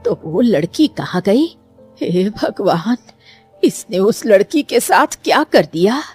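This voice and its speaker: A woman's voice: mid-range voice, very animated, slow pace, a little mellow, faint music behind. A woman voicing a suspicious, alarmed old village woman who mutters dramatic questions to herself in a cartoon story.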